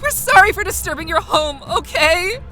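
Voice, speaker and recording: A woman's voice: very high voice, animated, moderate pace, sharp tone, soft background music, exaggerated voice. An actress playing a terrified young woman pleading in panic with a monster in a cheesy horror audio drama.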